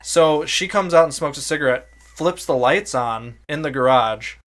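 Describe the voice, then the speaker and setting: A man's voice: fairly high pitch, moderate pace, fairly bright tone. A man co-hosting a podcast, telling stories in casual, informal, sweary chat. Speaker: podcast co-host.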